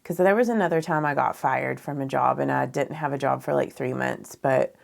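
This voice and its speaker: A woman in her thirties with a froggy, raspy voice, chatting candidly and ramblingly as a beauty vlogger doing a makeup tutorial indoors. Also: rumbling low, fairly quick. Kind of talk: casual chat during makeup tutorial. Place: small furnished room indoors.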